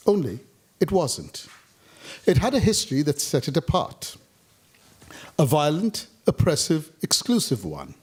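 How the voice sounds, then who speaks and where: A man from India reads his own essay in a measured, rhythmic delivery, with a fairly high voice that rises and falls expressively. He pauses deliberately between short, pointed phrases. This is a writer performing a reading at a public talk. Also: darkish tone, breathy edge. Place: stage of a public talk venue.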